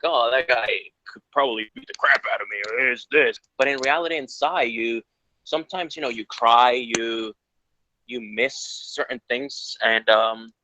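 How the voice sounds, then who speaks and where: A man's voice: medium pitch, bright, forceful tone, dry recording. A man from Ecuador, earnest and rambling, answering questions in a casual interview. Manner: earnest, rambling, lots of restarts. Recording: dull lo-fi sound.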